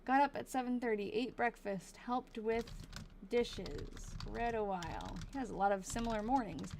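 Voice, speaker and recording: A woman from Massachusetts hosting a live stream, reading an old diary aloud in a casual way, thinking out loud and slowing down over hard words. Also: fairly high pitch, slight background noise.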